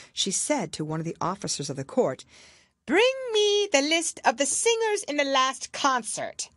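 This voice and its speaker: A woman's voice: low-pitched, husky, dry recording. A woman narrating a children's storybook from a script as an audiobook reader.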